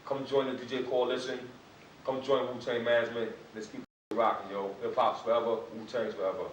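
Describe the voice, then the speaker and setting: A man giving a laid-back hip hop promo shout-out in street slang. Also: mid-range voice, little pitch movement, a little fast. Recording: roomy, far from the mic, faint hiss.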